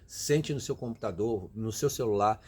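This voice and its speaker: A man's voice: lowish voice, moderate pace, a little mellow, breathy edge. A Brazilian man giving emphatic, motivational advice directly to his viewers in a casual way. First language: Portuguese. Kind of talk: motivational casual talk to camera.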